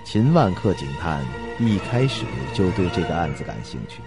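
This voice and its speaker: A man's voice: low voice, very animated, moderate pace, music in the background. A man narrating a suspense radio drama in a scripted, dramatic storytelling style.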